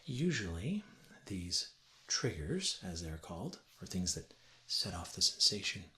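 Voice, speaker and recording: A man, perhaps in his thirties, gently and deliberately explaining things as a teacher in a soft-spoken ASMR roleplay lesson. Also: deep voice, big pitch swings. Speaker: ASMR creator playing a teacher. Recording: roomy echo with faint ambient noise.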